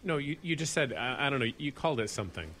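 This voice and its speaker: A man's voice: medium pitch, lively intonation, quick pace, a bit bright, a little hiss or hum, close to an everyday voice. A man acting an earnest, cautious bush pilot in a horror audio drama, talking with some hesitation. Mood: gentle, hesitant.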